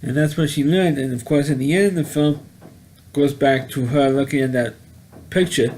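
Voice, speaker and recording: A man giving a casual, unscripted video review as host, rambling and halting, often repeating words and phrases. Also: medium pitch, steady tone, a little mellow, slight background noise, rough recording.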